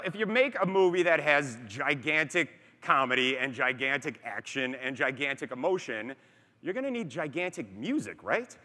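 Playful bright-voiced man on stage. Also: mid-range voice, expressive, normal speed. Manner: playful, teasing. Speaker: film director on stage. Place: large arena stage. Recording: arena sound with long reverb.

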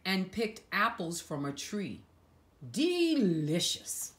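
Female picture-book reader for young kids: unhurried, highly expressive intonation, dramatic emphasis, hushed asides. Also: a little hiss or hum. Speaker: storyteller reading a picture book aloud to children. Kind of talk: expressive read-aloud storytelling for young children.

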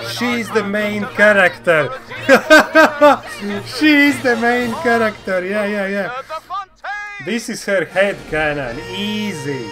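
A man in his thirties giving casual, excitable off-the-cuff commentary as a reaction video host, often exclaiming and repeating his phrases. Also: sits high. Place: indoor room. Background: game trailer music.

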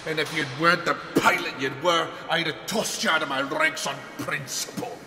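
A man's voice: a little high, steady tone, moderate pace, music in the background, close to an everyday voice. A man playing a stern, scornful military sergeant in a radio drama. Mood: stern contempt. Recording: echoey hall, noisy ambience.

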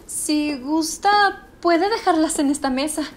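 A woman's voice: sits high, takes it slowly. A woman playing a polite, flustered young fruit seller in a student radio drama.

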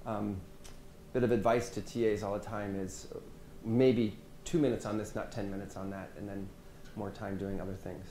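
A man leads a teaching workshop in a seminar room, speaking casually and letting his sentences fade softly at the ends. His voice is mellow and relaxed. The sound has echo and faint hiss from a room microphone. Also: on the low side, normal speed. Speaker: French language instructor. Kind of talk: workshop presentation and discussion. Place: medium-sized seminar room with audience.

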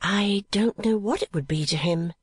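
Storybook figure: earnest young woman, soft and halting, read by a woman narrator, dry close sound. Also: medium pitch, slightly rough, no echo, voice pushed up.

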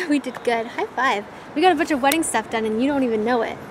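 A woman's voice: high-pitched, fast talker, background noise. A young woman vlogging to a handheld camera, chatty and giggly, talking straight to her viewers. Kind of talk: casual vlog chat. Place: car, then outdoors and at home. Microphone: handheld camera at arm's length.

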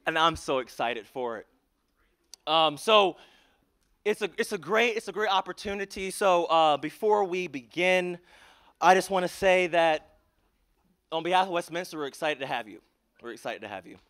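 A man's voice: on the high side, lively intonation, moderate pace, pauses often. A young man emceeing a concert in a large auditorium, speaking earnestly on a handheld mic. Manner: earnest, builds to rousing shouts, hushed prayer. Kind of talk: emcee introductions and public prayer.

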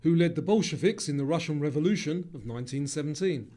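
Higher-voiced mellow man reading quiz questions. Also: lively intonation, quick pace. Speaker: quiz narrator. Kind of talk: scripted quiz narration. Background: music.

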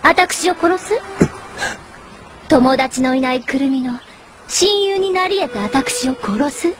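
A woman's voice: high-pitched, animated, slow pace. A woman playing an elegant, scheming young lady in a Japanese audio drama, with haughty, mocking, refined speech. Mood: mocking, menacing.

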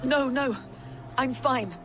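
A woman's voice: high-pitched, slow pace, long reverb tail, music in the background. A proud captive queen. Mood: pleading. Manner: defiant, swinging to desperate pleading. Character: proud captive Mercian queen, loyal wife. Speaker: game character.

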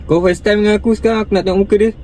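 A young Malaysian man speaks in a slightly high voice. He keeps up an insistent, questioning, casual phone manner. He is recorded in a car cabin with faint noise behind him. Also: steady tone, rapid-fire, darkish tone. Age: 20s. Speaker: prank caller. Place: inside a parked car.

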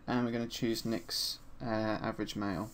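Hoarse gravelly man narrating a tutorial. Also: fairly low pitch, a little fast. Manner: relaxed and chatty, with frequent ums and uhs. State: slightly hoarse from a sore throat. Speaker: 3D art instructor. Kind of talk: screen-recorded software tutorial narration. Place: at his desk, voice-over for a screen recording.

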